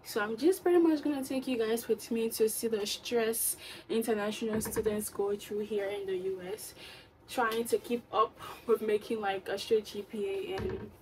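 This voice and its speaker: A woman's voice: on the high side, steady tone, normal speed, a little mellow, a little hiss or hum, rough recording. A young woman with a Liberian English accent, chatting upbeat to her phone camera in a college dorm. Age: young adult. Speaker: vlogger. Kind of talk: casual vlog chat. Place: college dorm room and study lounge. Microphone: phone camera at arm's length.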